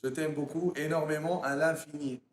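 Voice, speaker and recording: A man's voice: on the high side, fairly even intonation, moderate pace, roomy sound, slight background noise. A man with a slight Arabic accent who learned French at school, bantering playfully and goofily on a livestream. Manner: playful, goofy, joking. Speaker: co-streamer.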